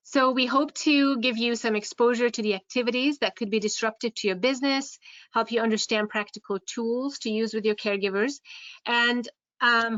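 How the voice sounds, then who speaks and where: A woman's voice: a little high, a bit bright, no room sound. A woman with a Hungarian accent giving a webinar presentation as a care home administrator, hesitant with many fillers and restarts. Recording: dull, dry online call audio.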